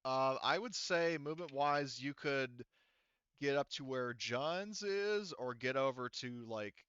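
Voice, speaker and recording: A man's voice: medium pitch, expressive, unhurried, a bit bright, clean tone, dry recording, dull audio. A man running a tabletop role-playing game as game master, talking rules casually and swearing freely.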